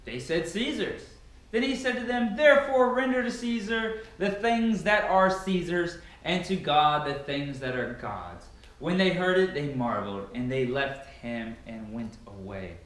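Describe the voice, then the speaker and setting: A man's voice: a little high, unhurried, fairly bright tone. A man giving a solemn, declamatory dramatic reading of scripture as an amateur reader. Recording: very echoey, reverberant room.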